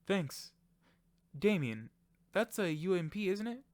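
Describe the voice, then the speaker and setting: A man's voice: sits high, big pitch swings, takes it slowly, a little mellow, no echo, exaggerated voice. A man playing an eager, inquisitive young friend in an amateur audio drama. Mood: curious, casual.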